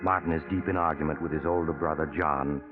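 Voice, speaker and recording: An actor playing a genial yet sinister storyteller, narrating an old radio mystery in a suave, deliberate way. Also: male voice, a bass voice, steady tone. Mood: genially ominous. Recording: tinny old radio recording, loud music behind.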